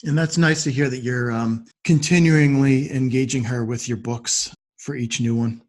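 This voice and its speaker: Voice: mid-range voice, steady tone, moderate pace, a little mellow. An interviewer hosts a podcast in a conversational style. The host responds to the guest in an encouraging, affirming manner and keeps the questions informal.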